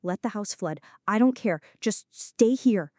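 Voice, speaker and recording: A woman playing a flirty, anxious female friend in an intimate ASMR roleplay, speaking softly and dramatically, close to the listener. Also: mid-range voice, lively intonation, measured pace, dry recording, natural voice. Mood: scared, pleading. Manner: soft, close, dramatic pleading and confiding.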